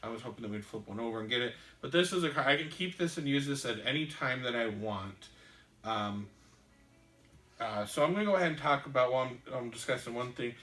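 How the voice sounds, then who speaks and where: A man's voice: mid-range voice, fairly quick, pushed and bright. Man patiently explaining game rules. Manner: patient, talks through rules step by step with many ums. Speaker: board game show host. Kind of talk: explaining board game rules while playing. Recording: roomy room, mic at a distance, faint hiss.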